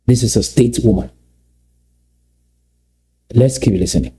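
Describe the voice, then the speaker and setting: Middle-aged man, Cameroonian English accent, warm low timbre, lecturing commentator who poses rhetorical questions. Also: a little slow. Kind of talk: commentary on played clips.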